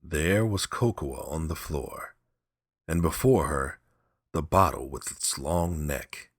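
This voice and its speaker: Deep-voiced, unhurried male storyteller. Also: husky, no echo, studio quality. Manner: measured, deliberate. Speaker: audiobook narrator. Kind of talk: scripted storytelling narration.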